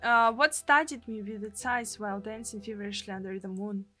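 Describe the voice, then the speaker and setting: A woman's voice: medium pitch, a little fast, pushed and bright, faint music behind. A woman with a Russian accent, a native Russian speaker, dramatically narrating a visual novel as a streamer. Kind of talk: dramatic narration and live translation of game text.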